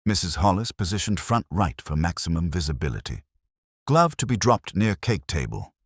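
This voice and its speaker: A man voicing an insistent, brisk female newspaper reporter with a direct, businesslike manner in an audiobook mystery. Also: sits low, very animated, dry recording, studio quality, heavily acted.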